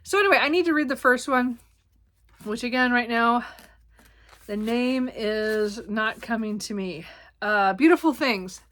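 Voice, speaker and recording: Bright-voiced woman rambling about books. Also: a little high, measured pace. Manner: rambling, many ums and self-corrections. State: slightly hoarse, clearing throat. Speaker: book vlogger. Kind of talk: casual book haul chat.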